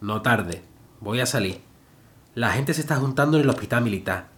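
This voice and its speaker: An actor playing a tender, admiring working-class husband in an audio drama. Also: male voice, medium pitch, expressive, normal speed, a bit bright, close to an everyday voice. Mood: concerned. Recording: clear background noise.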